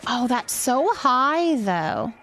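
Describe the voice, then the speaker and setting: A woman's voice: sits high, big pitch swings, extremely slow, a bit bright. A woman hosting a livestream, chatting casually and thinking aloud as she plays a building game. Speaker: livestream gaming host. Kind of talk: casual chat while playing a building game.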